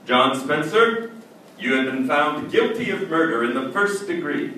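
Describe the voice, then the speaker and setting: A man's voice: fairly high pitch, expressive, measured pace. Cold, formal male judge, actor-played; declamatory old-time radio delivery, far from the mic in a reverberant space. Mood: stern, commanding. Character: stern, haunted male judge, cold and unbending. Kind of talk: old-time radio drama acting and narration. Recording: very echoey, distant, noisy stage recording.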